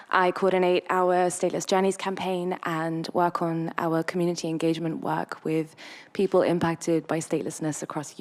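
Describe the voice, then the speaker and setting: Low-pitched woman opening a panel discussion. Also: darkish tone. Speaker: campaign coordinator and panel moderator. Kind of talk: informal public panel introduction.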